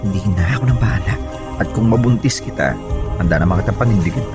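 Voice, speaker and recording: Radio drama part: impatient young male lover acted by a man, rapid delivery, loud music underneath. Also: on the low side, close to an everyday voice. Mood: coaxing, assured. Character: Troy, young man, persuasive impatient boyfriend.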